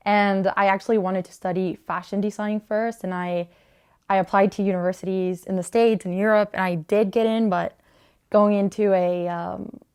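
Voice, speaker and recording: Clear, smooth-voiced young woman from Honduras. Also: medium pitch, darkish tone. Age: 20s. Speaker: small clothing business owner, interviewee. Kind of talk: casual interview monologue about own work.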